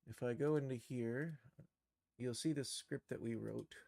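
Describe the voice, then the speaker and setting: A man giving an unscripted software tutorial on a livestream, halting and thinking aloud as he works. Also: medium pitch, gravelly, dry recording, studio quality. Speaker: livestream host and game developer.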